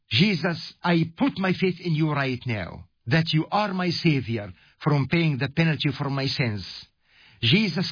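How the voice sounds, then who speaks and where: A man's voice: on the high side, breathy edge, dry recording, highs cut off. A man from Egypt giving an earnest radio gospel message, speaking straight to the listener. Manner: earnest, direct address to the listener. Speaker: evangelist and ministry host.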